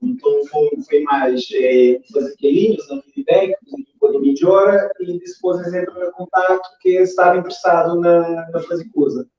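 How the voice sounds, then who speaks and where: A man's voice: sits high, normal speed, hardly any pauses, darkish tone. A man with a Cape Verdean accent giving casual, hesitant answers as an interview guest. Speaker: interview guest, animation creator. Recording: very echoey, distant, dull sound.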